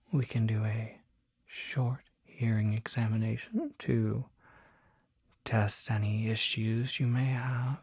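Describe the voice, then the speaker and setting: A performer voicing a calm, courteous demon doctor in an ASMR roleplay, whispering softly with a measured, reassuring, formal bedside manner. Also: mid-range voice, no echo, clean studio sound. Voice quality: breathy, whispery.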